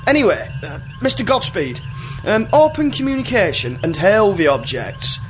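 An actor playing a bumbling, trigger-happy starship captain in a comedy radio play, by turns hesitant and blustering. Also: male voice, high-pitched, sing-song, moderate pace, rough recording. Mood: flustered. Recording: music behind the voice.

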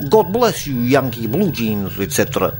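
A man's voice: mid-range voice, very animated, normal speed, darkish tone, soft background music, lightly acted. An actor playing an eager foreign starship crewman in a comedy radio play. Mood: eager, grateful.

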